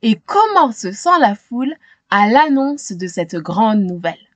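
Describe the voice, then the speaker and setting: A woman reading a children's storybook aloud with expressive, dramatic narration, as if to young listeners. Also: on the high side, measured pace, no echo. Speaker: storytime reader.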